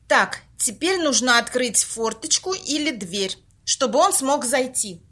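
Bossy, snippy woman running a séance in a radio play, amateur actress: very high voice, grumbling colloquial delivery. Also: lively intonation, unhurried, bright, forceful tone, heavily acted. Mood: businesslike. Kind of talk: amateur audio play acting.

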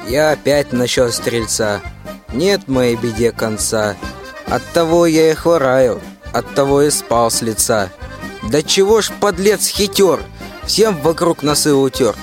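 A man's voice: medium pitch, expressive, a little slow, faint music behind, natural voice. A man playing a complaining, scheming general with folksy bluster in a rhymed fairy-tale radio play. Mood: complaining, urging. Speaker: student radio-play actor.

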